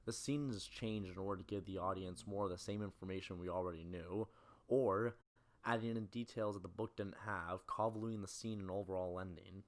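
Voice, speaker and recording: Video essayist: young male, deep-pitched, brisk scripted narration. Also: steady tone. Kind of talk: scripted film-analysis narration.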